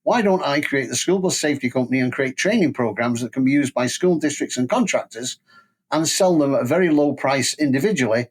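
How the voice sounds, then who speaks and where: A man's voice: medium pitch, animated, a little fast, husky. Older Englishman with a Manchester accent. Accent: Mancunian English. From England. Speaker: podcast guest, safety training company president. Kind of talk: conversational career storytelling.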